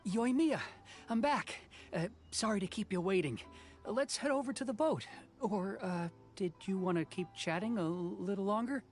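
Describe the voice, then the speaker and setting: A man's voice: high voice, normal speed, soft background music, lightly acted. An actor playing an earnest, modest young male craftsman in a video game, speaking politely and apologetically. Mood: hushed urgency. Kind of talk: video game character acting.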